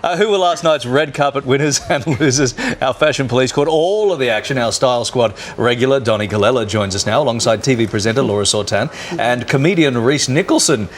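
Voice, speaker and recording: A man's voice: medium pitch, fairly quick, few pauses, slight background noise. An Australian man co-hosting a morning TV show, chatty and jokey as he presents and banters. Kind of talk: breakfast television presenting with playful banter. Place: TV studio.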